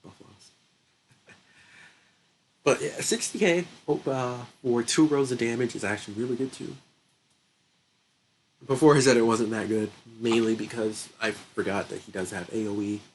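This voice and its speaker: A man's voice: mid-range voice, normal speed, pauses often, slight background noise, rough recording. A young man with a stuffy nose, getting sick, chatting casually through his gameplay commentary, laughing often and thinking aloud. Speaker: gaming channel host.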